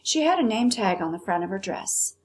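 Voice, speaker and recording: A woman's voice: mid-range voice, big pitch swings, a little fast, darkish tone, husky. A woman teacher narrating a children's novel as a read-aloud.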